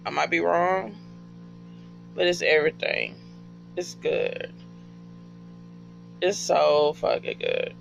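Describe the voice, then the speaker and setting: A man's voice: on the high side, huge pitch swings, slow pace, vocal fry, long pauses, dull audio, soft background music. A man chatting casually and off the cuff, slangy and profane, with emphatic repeated phrases. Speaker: podcast fan giving recommendations. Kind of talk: casual, unscripted chat.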